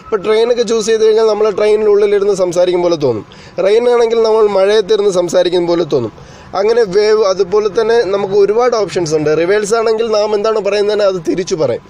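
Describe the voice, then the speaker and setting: A man's voice: sits high, fast talker, warm tone. A man narrating an explanatory tech tutorial as its host, in a formal, wordy way that addresses viewers directly.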